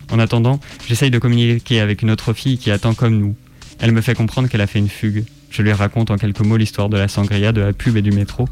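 Male narrator, low-pitched and very even, scripted first-person story reading with faint music underneath. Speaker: reader on a radio show.

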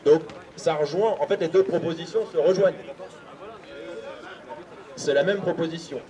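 A man's voice: fairly high pitch, normal speed, warm tone. A man moderating an open-air assembly, summing up proposals hesitantly with many fillers. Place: outdoor city square. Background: crowd.

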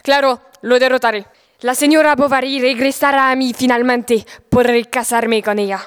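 Teen girl acting as deluded old knight, high clear voice, defiant, pompous, audio fiction. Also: steady tone, normal speed, in a large hall, close to an everyday voice. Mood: deluded, combative. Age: teens. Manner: pompous, declamatory.

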